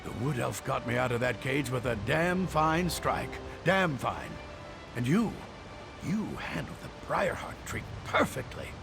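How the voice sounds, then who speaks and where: An adventuring alchemist for a fantasy video game. His voice is gravelly and swings in pitch, with measured, wry, unflappable delivery. Music plays clearly behind him. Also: animated. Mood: satisfied, wry. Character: male adventuring alchemist, rescued captive, unflappable and dryly wry. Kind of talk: video game character acting.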